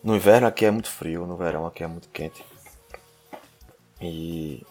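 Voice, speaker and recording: A man's voice: low voice, normal speed, a little mellow, faint music behind. A young man from northeast Brazil co-hosting a casual podcast, hesitant and restarting his words, with light laughs. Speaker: podcast co-host.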